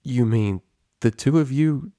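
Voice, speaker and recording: A man's voice: mid-range voice, measured pace, warm tone, no room sound, studio quality, voice pushed up, close to an everyday voice. A man voicing a stern, regal female pony ruler and mentor in a story reading. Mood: firm, worried. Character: stern regal mare princess, mentor ruler, angry then motherly.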